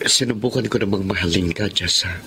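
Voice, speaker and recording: An actor playing a guilt-ridden, apologetic young man in a radio romance drama, speaking in a halting, subdued way. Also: deep voice, very noisy. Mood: remorseful. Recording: background music under the voice.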